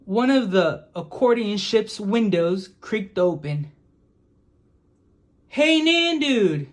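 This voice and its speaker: A woman reading a children's picture book aloud in a deliberate, expressive storytelling manner. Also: medium pitch. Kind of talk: storybook read-aloud narration.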